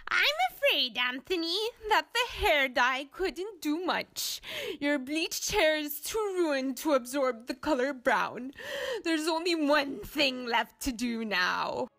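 High, theatrical salon villainess. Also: female voice, animated, bright, forceful tone, heavily acted. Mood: ominous. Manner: grand, drawn-out, commanding delivery. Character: towering theatrical villainous salon boss, female, grandly menacing. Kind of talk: animated cartoon voice acting.

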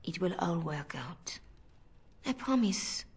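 Female spymaster for a fantasy game: husky, sly, seductive, controlling. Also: fairly low pitch, expressive, slow, darkish tone, exaggerated voice. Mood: cool, reassuring. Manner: coaxing, commanding, condescending endearments. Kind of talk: video game voice acting.

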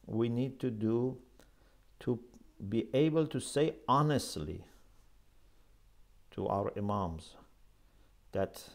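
A man's voice: medium pitch, animated, slow pace, gravelly, long pauses. A middle-aged man gives a religious lecture in an earnest, emphatic manner, speaking into a lapel mic in a small office. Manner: earnest, emphatic, gestures with his hands. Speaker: Islamic scholar lecturing.

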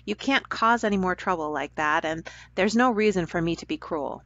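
Kind earnest boy, put on by a woman reader, sincere and reassuring, lifted pitch, dull dry audio. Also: moderate pace, a bit bright, dry recording, lightly acted. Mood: gentle firm.

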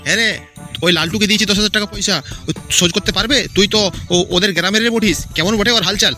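A man's voice: sits high, rapid-fire, pushed and bright, soft background music. A man voicing a bullying, crooked village chief and moneylender in a cartoon, crude and threatening. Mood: bossy. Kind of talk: Bengali cartoon voice acting.

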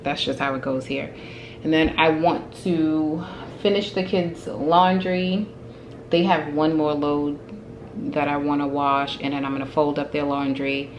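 Woman, thirties, low voice, chatty, venting with small laughs, kitchen vlog. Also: steady tone, unhurried, slight background noise. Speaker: home vlogger. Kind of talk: casual grocery haul and cleaning chat. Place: home kitchen. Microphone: handheld phone camera.

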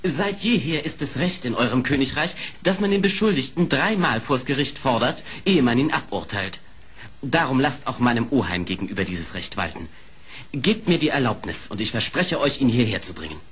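An actor playing a loyal badger in a radio play, pleading respectfully and persuasively. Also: male voice, medium pitch, lively intonation, moderate pace, a bit bright, slight background noise, close to an everyday voice. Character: loyal, earnest male badger, pleading nephew. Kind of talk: German radio play acting.

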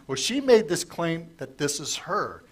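A middle-aged man preaches from a lectern in a church sanctuary, asking the congregation questions as he works through scripture. His voice sits a little higher than most men's. He is losing it, so it comes out strained in places. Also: big pitch swings, a little slow. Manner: conversational, asks the congregation questions. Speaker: pastor. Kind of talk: sermon, verse-by-verse Bible teaching.

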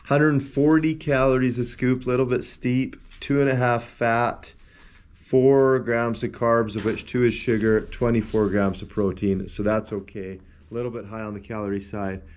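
A man's voice: medium pitch, steady tone, normal speed, darkish tone. A man in his forties giving a casual, rambling review to camera at a desk in a small room. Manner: rambling, easygoing, talks while handling the tub and shaker. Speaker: protein powder reviewer. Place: small indoor room with shelves of supplement tubs. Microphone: camera at a desk, wide framing.

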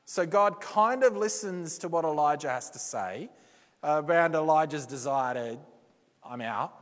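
A man preaching a sermon in a church, slow and deliberate, with dramatic pauses and quotes he acts out. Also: on the high side, expressive. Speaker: pastor. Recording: reverberant church hall.

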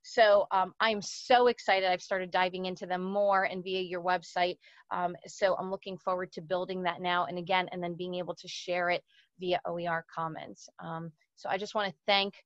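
A woman's voice: on the low side, level tone, normal speed, no room sound. A woman in her thirties, a native Portuguese speaker, giving an informal webinar presentation over a lo-fi video call. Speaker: presenter, language educator. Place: small indoor room. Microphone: computer mic on a video call. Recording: dull, lo-fi video-call audio.

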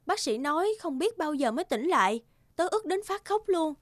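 A woman's voice: sits high, sing-song, moderate pace. A woman playing a vain, materialistic young bride-to-be in a cartoon, with music underneath. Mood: aggrieved. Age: young adult. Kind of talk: cartoon dubbing.

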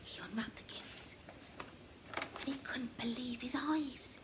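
A young woman reads a children's story in an expressive, theatrical way, often dropping to a hush, recorded in a small room at home. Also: on the high side, slow pace, in a whisper. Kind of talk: animated children's story reading. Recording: noticeable background noise, home recording.

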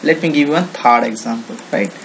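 A man with an Indian accent demonstrating software in an informal screen-recorded tutorial, with non-native English phrasing and frequent repetition. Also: mid-range voice, brisk. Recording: noisy home-made screen recording.

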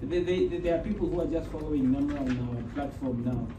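A man's voice: a little high, fast talker, background noise. A man in a meeting room speaking with emphasis and repeating his points, as a senior manager. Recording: roomy sound from far off. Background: music.

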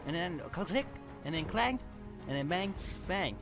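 A man with a fairly high, very lively voice trash-talks his friends over a card game. He is playful and slangy, hosting casually, with clear music playing behind him. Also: normal speed. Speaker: host of card game video. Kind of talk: casual gameplay chat and trash talk with friends.

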